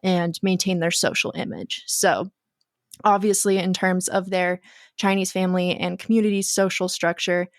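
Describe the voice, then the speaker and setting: A woman's voice: fairly low pitch, fairly even intonation, normal speed, dry recording, clean studio sound. A young woman co-hosting a film podcast, chatting casually with many ums. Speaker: podcast co-host.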